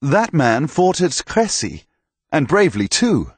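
A man voicing a boisterous, commanding older knight with a booming voice in an audiobook. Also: huge pitch swings, unhurried, slightly rough, dry recording, full character voice. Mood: hearty. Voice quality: booming, laughing barks. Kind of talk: audiobook character voice.

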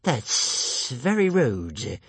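Huge dim monster, drawling very slowly, hissing and husky, sulky menace for a children's story. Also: male voice, medium pitch, sing-song, a bit bright, dry recording, full character voice. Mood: hurt, grumpy. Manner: slow, drawn-out, musing, simple broken grammar. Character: huge slow-witted male tentacled cave monster, menacing, sulky. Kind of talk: children's audiobook character voice.

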